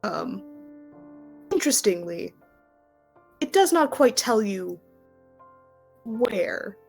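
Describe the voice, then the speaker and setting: Woman game master with a creaky voice. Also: medium pitch, very animated, a little mellow, soft background music. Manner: casual, halting, conversational. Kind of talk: improvised narration of a tabletop roleplaying game.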